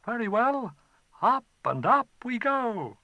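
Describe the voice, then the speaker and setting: Man putting on a young boy, brave and earnest, high raised voice, defiant. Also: sing-song, slow, pushed and bright, dry recording, full character voice. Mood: determined.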